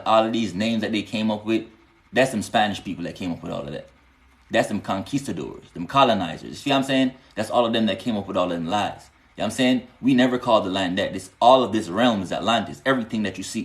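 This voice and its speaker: A man's voice: on the low side, roomy sound. A man, teaching spiritual lore casually on a live stream and answering viewers, often asking "you know what I'm saying" and rising into emphatic bursts.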